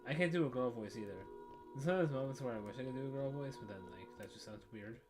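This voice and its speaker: Chatty man over loud game music. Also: mid-range voice, fast talker. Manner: chatty, laughs often, rambling asides. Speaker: let's play streamer. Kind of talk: casual gameplay commentary.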